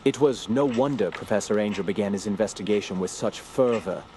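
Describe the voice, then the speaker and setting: A man plays an earnest gentleman scholar recounting his inquiry in a horror radio play. His voice sits fairly low, with measured, literary delivery. Noisy ambience is audible behind him. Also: close to an everyday voice. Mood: grave, suspicious. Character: earnest, scholarly young male investigator narrating his inquiry. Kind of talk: scripted first-person drama narration.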